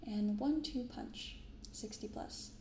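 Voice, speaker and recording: A young adult woman speaking softly and unhurriedly in a hushed ASMR style as she hosts a casual show-and-tell. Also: on the high side. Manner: hushed, unhurried reading aloud and chatting. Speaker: YouTube card-opening host. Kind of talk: soft-spoken ASMR, casual commentary. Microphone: close binaural microphone. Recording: roomy sound with clear background noise.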